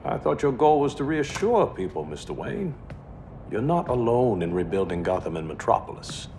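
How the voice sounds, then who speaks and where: A wry, reasonable game adviser with a mid-pitched, animated voice. He chides gently and conversationally, with faint noise behind him. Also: moderate pace, creaky. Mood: wry, concerned. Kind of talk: video game cutscene acting.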